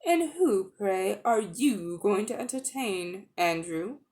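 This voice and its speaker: A woman's voice: mid-range voice, animated, slow, darkish tone. Woman acting a firm, disapproving aunt. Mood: stern refusal. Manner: firm, stern, unyielding. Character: firm, quiet, disapproving aunt in late middle age. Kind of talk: dramatic audiobook reading.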